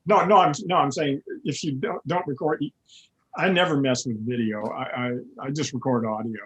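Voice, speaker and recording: A man who hosts a podcast, chatting casually on a video call, laughing often and filling in with quick yeahs. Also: mid-range voice, a bit bright, low-quality recording. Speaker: podcast host.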